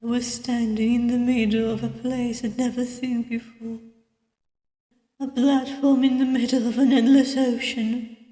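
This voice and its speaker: A woman voicing a reserved, weary detective who narrates a visual novel in a measured, dramatic way. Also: on the high side, level tone, warm tone, natural voice. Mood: eerie, hushed. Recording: distant mic, echoey long reverb.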